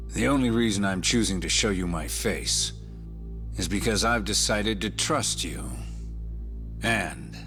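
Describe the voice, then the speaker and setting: A composed, imposing government official in a game cutscene. His voice is low and his delivery measured and formal, with faint music behind him. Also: a bit bright. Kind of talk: English video game cutscene acting.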